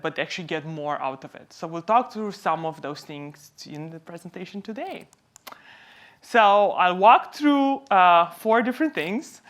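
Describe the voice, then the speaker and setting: Low-pitched woman presenting. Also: fairly bright tone. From Romania. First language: Romanian. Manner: explanatory, posing questions then answering them. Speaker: company co-founder presenting at a conference. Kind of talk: conference presentation.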